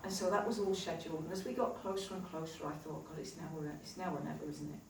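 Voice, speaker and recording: A woman with a low contralto voice telling a story to a live audience, acting out what people said. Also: fast, a little hiss or hum. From New Zealand. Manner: animated storyteller, acts out quotes. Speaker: healer and live event host. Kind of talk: chatty stage talk to an audience. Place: live event venue. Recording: echoey hall, heard from a distance.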